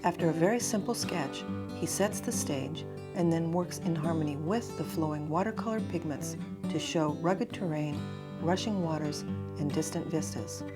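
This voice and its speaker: A woman giving a smooth, polished scripted introduction to a video. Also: low-pitched, normal speed. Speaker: narrator. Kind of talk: scripted narration. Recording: loud guitar music behind.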